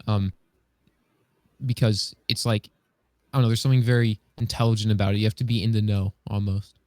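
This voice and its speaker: A man co-hosting a podcast in casual chat, speaking haltingly as he searches for words. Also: on the low side, level tone, dark tone, no room sound. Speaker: podcast co-host.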